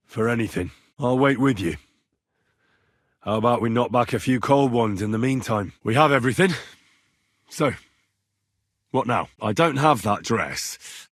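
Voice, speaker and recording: An actor playing an earnest, plucky young medieval adventurer in a video game, polite and plain-spoken, with a slightly husky voice. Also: male voice, medium pitch, no echo, clean studio sound. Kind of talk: video game character dialogue.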